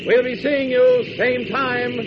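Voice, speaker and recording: A male radio announcer narrating a 1940s adventure serial in a dramatic, excited style, with a husky voice. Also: very high voice, takes it slowly, noisy background. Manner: dramatic, building excitement in countdowns. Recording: dull lo-fi 1940s radio transcription.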